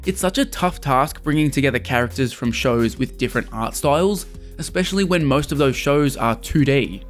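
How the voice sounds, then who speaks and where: A man's voice: mid-range voice, expressive, moderate pace. Man narrating a scripted review over music. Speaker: video host and game reviewer. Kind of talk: scripted video essay narration.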